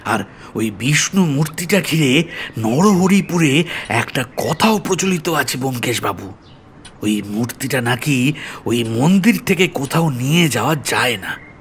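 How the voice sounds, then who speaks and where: A man's voice: medium pitch, animated, a little slow, slight background noise. A man playing an earnest, deferential police officer in an audio story, explaining things politely and seriously. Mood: grave.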